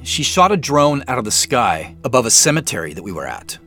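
A man's voice: medium pitch, sing-song, brisk, pushed and bright, soft background music. A man playing a casual, probing detective in a naturalistic audio drama, dry and inquisitive. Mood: questioning. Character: dry, inquisitive male detective working a case.

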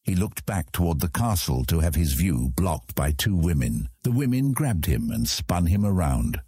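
A man's voice: low-pitched, very animated, normal speed, mellow, husky. A man reading scripted fantasy narration as an audiobook narrator.

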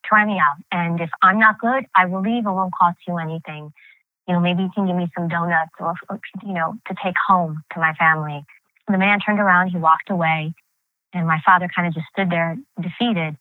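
A reflective woman, recounting memories in a candid, rambling way. Her voice sits on the low side and comes through a thin, phone-like line with no room sound. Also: steady tone, fairly quick, no echo. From New York, United States. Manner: candid, reflective, frequent fillers and restarts. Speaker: guest. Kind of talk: personal storytelling in an interview. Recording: tinny phone-like line, dry.

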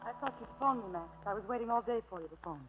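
A woman's voice: on the high side, expressive, very fast, loud music behind the voice, natural voice. An actress playing a lovelorn, embittered woman with an intense, pointed delivery in a 1950s radio melodrama. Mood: wry, yearning. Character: lovelorn, embittered woman pleading with her hardened lover. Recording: old tinny radio broadcast.

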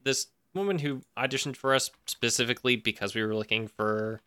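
A man's voice: mid-range voice, steady tone, fairly quick, sharp tone, smooth. A man telling anecdotes as a podcast guest, explaining casually and restarting to clarify. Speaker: podcast guest, audio drama writer. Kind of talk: casual interview chat, anecdotal.

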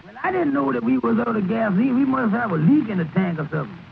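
An actor playing an earnest, worried cab driver in folksy, ungrammatical dialect, in 1930s radio comedy style. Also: male voice, extremely high pitch, animated, fast, vocal fry, full character voice. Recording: crackly 1930s radio broadcast, narrow band.

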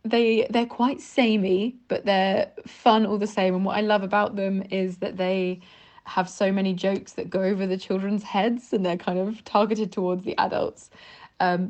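A British woman contributes as a guest, recalling things casually. Her voice sits at an average pitch, and she talks in an informal, conversational way. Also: a little mellow. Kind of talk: casual recollection.

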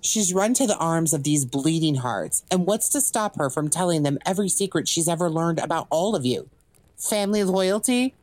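A woman's voice: on the low side, lively intonation, moderate pace, darkish tone, no echo. A woman acting in an audio drama as a cocky legendary assassin and thief for hire, with smug, theatrical, drawling taunts. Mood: scornful, commanding.

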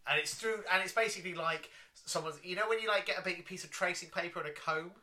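A man's voice: high voice, quick pace, bright, forceful tone. A man co-hosting a casual review chat, explaining things with enthusiasm. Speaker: podcast co-host.

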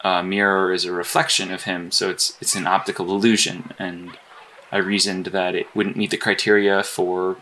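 A man acting as an earnest hero-industry agent in an audio drama. His voice sits a little low but has a bright, forceful edge. He speaks haltingly, stammering his way along in an awkward, apologetic manner. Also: flat delivery, a little hiss or hum, rough recording. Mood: awkward, candid.

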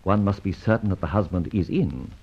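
A suave gentleman rogue in a vintage radio adventure. His voice is lowish and husky with very animated intonation, breezy, cocky and teasing. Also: fairly quick, full character voice. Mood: brisk, playful. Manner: breezy, teasing, flourished phrasing. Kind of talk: old radio adventure serial acting. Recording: dull, muffled old radio recording.

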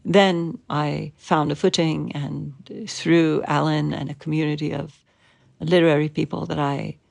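Slow, gravelly woman with an Iranian accent. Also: low-pitched, flat delivery, a little mellow. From Iran. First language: Persian. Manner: measured, thoughtful, with pauses. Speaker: interviewed writer. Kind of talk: reflective interview.

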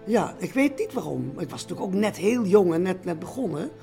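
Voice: medium pitch, big pitch swings, brisk, vocal fry, mellow, faint music behind. A person telling anecdotes in an interview in a hesitant way, acting out quoted exchanges.

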